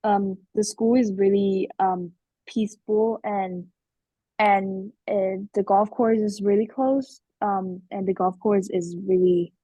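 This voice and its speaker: Slow, hesitant young woman on a call. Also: medium pitch, mellow, no room sound. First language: Thai. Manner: hesitant, many ums. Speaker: student guest speaker. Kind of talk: webinar Q&A. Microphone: video call. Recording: muffled video call audio.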